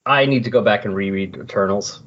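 A man talking casually and loosely on a comics podcast, thinking aloud as he explains, with a slightly husky voice. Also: on the low side, a little fast, a bit bright. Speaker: podcast co-host discussing comics. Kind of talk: casual, rambling comics discussion. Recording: dull lo-fi voice recording.

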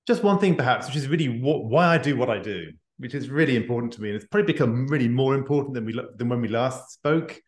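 A middle-aged man talks in a conversational, reflective way as a podcast guest. His medium-pitched voice comes over a remote call from an echoey room. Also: quick pace, few pauses. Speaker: podcast guest, executive coach and author. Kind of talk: conversational interview.

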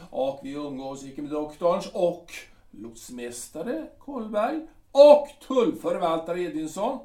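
A man's voice: high-pitched, a little mellow. A man playing a scornful old army captain. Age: older. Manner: blustering, contemptuous, drawn-out pompous phrasing. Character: bitter aging male artillery captain, proud and scornful. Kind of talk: audio drama acting. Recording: roomy, somewhat distant mic.

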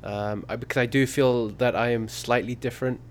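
Easygoing man, mid-pitched. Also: fairly even intonation, moderate pace, a little hiss or hum. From United Kingdom. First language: English. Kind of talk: casual podcast chat.

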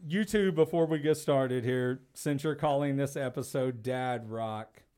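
A man's voice: mid-range voice, a little slow, mellow, clean tone. A man in his forties, a guest on a casual podcast, joking and teasing over drinks with a playful swear now and then. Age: 40s.

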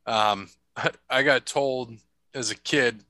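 A man's voice: mid-range voice, slow pace, bright, forceful tone, no echo. A man hosting a casual chat, rambling through stories and laughing often. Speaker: podcast host.

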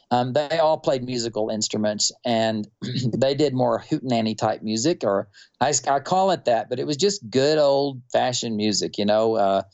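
Texan man, low-fi sound. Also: creaky, dull audio. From North Texas. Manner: unhurried, rambling storyteller with fillers. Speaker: quilter and musician guest. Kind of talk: relaxed interview talk.

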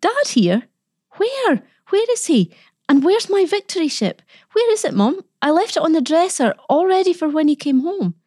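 A woman voicing an excitable, stubborn young boy in a storybook, exclaiming and protesting eagerly. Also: lowish voice, moderate pace, few pauses, dry recording, studio quality. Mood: excited.

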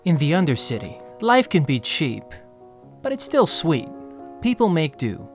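A man's voice: a little high, sing-song, a little slow, soft background music. An actor playing an earnest, reflective male prisoner telling his life story in an audio drama, heartfelt in manner. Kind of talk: audio drama dialogue and first-person narration.

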